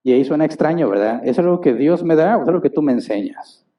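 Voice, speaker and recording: Male pastor, higher voice, preaching a sermon with rhetorical questions; mic set back, dull lo-fi recording. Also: expressive, quick pace. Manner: asks the congregation rhetorical questions; acts out little dialogues. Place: church hall. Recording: distant, dull church recording.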